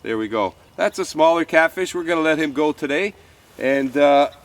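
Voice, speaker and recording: A man's voice: a little high, expressive, moderate pace. A man in his fifties with a slightly stuffy cold, hosting a fishing show outdoors on a creek bank, chatty and folksy with plenty of "uh"s. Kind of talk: casual outdoor how-to talk to camera.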